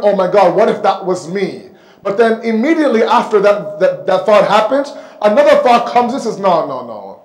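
A man delivers a religious lecture in a rather high voice. He speaks emphatically, repeating phrases for weight and posing rhetorical questions. The sound is noticeably echoey, picked up on a microphone in a hall. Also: a little mellow. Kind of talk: sermon lecture. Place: indoor mosque hall, seated at the pulpit. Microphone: microphone on a stand close in front.

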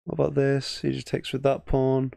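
A man's voice: mid-range voice, completely flat delivery, normal speed, creaky, warm tone, no room sound, studio quality. A man with an English accent giving casual, thinking-aloud game commentary as a chess streamer, often muttering half-finished thoughts. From England.

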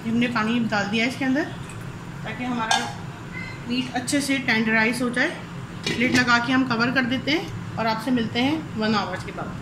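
A woman with a Pakistani Urdu accent, calmly talking through a cooking demonstration step by step in her home kitchen. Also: on the high side, moderate pace. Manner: calm step-by-step explaining. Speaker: cooking channel host. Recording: echoey kitchen with sizzling noise.

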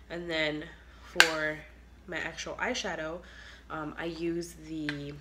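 A woman's voice: deep voice, very noisy. A young woman with a stuffy, congested nose chatting through a makeup tutorial, filler-heavy and trailing off into near whispers, filmed on a phone in a small bedroom. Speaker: beauty vlogger. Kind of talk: casual makeup tutorial chat. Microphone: phone camera at arm's length.

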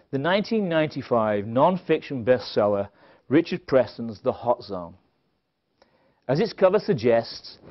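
A middle-aged man giving a prepared conference lecture, with wry, dry humorous asides and emphasis on quoted phrases. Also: medium pitch. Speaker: conference speaker. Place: indoor stage at a conference podium. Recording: slightly dull, band-limited event recording.

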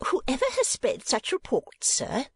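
A woman's voice: fairly high pitch, huge pitch swings, moderate pace, a little mellow, husky, no room sound, pitched above the performer's own voice, heavily acted. A woman voicing a vain, flustered middle-aged mother in a storybook, genteel and easily affronted. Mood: indignant.

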